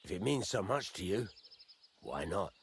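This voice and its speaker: Gruff middle-aged blacksmith, gravelly, lively pitch swings, blunt, folksy, fatherly, medieval game dialogue. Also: normal speed, slight background noise. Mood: confiding. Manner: blunt, folksy, swears mildly, teasing. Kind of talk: video game dialogue acting.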